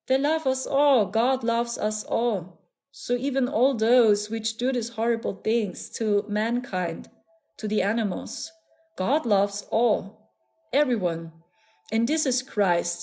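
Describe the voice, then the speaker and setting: A woman's voice: mid-range voice, a little mellow. A woman with a German accent hosting a live stream as a spiritual channeler, talking in an earnest, repetitive, soothing way with frequent little laughs. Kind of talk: casual live-stream talk.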